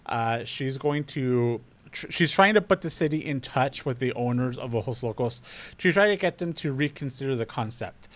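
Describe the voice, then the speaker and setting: Steady man asking questions. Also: mid-range voice, normal speed, no echo. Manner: steady, prompting questions with fillers. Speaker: co-host. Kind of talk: casual interview chat.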